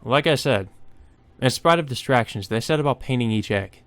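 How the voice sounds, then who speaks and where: A man's voice: mid-range voice, lively intonation, normal speed, fairly bright tone. A man hosting a let's play, reading a visual novel aloud and adding casual asides.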